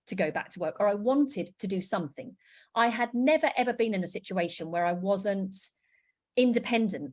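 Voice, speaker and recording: Bubbly british woman in her thirties pitching on a video call, gushing and upbeat. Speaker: business presenter. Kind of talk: online sales presentation.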